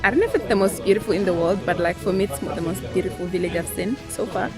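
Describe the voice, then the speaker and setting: A woman travel vlogger speaks in a medium-pitched voice over clear background music. She talks casually to her viewers with open enthusiasm and admiration. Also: fast. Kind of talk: casual chatty vlog narration to viewers. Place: outdoors in a village. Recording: music behind the voice.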